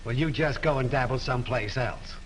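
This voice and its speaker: An actor in a 1930s film playing a blunt, gruff police lieutenant questioning people on a film set. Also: male voice, mid-range voice, fairly quick, slight background noise, natural voice.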